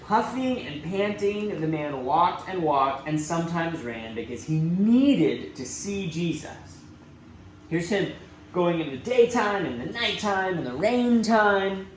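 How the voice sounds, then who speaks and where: Male children's storyteller, lively and dramatic, roomy echoey sound. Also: high voice, takes it slowly. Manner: expressive, dramatic emphasis with asides to young listeners. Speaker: church children's storybook reader. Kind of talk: animated read-aloud storytelling for children. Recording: roomy echo, faint background noise.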